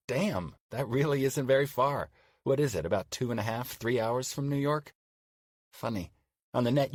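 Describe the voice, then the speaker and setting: A man's voice: on the low side, a little mellow, no room sound, clean studio sound, lightly acted. A man narrating an audiobook as a courteous, chatty older single man writing courtship emails.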